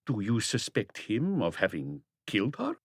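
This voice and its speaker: A man voicing a suave, guarded crook turned businessman in an audiobook, with slow, smoothly polite, deliberate speech. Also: fairly low pitch, wildly swooping pitch, no echo, studio quality, heavily acted. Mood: guarded, indignant. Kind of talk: audiobook character dialogue.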